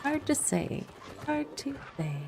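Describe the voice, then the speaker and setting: A woman's voice: high voice, big pitch swings, slow pace, gravelly, heavy background noise. A woman livestreaming a game with casual, playful, self-deprecating commentary. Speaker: game streamer and host. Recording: music playing behind the voice. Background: background music.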